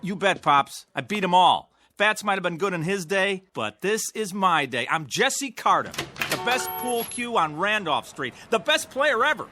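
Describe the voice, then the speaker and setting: An actor playing a cocky, obsessed young pool shark in a radio drama, with a brash, insistent, slangy way of talking. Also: male voice, high voice, lively intonation, moderate pace, hardly any pauses, pushed and bright, soft background music. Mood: boastful.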